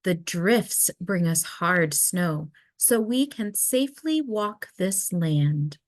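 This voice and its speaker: A woman voicing a gentle, reassuring mother polar bear from a storybook, soothing and unhurried. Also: on the low side, animated, no echo. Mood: calm, reassuring.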